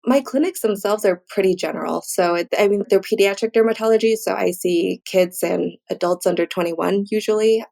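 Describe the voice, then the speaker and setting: A woman's voice: medium pitch, a little fast, vocal fry, hardly any pauses, dark tone. A woman answering interview questions as a guest expert, explaining things in an informal, conversational way. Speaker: guest, physician and researcher.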